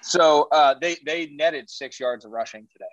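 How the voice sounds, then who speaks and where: A man's voice: fairly high pitch, moderate pace, dry recording. Man, podcast co-host, muffled dry sound, casual slangy chat. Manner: chatty, interjecting, uses slang.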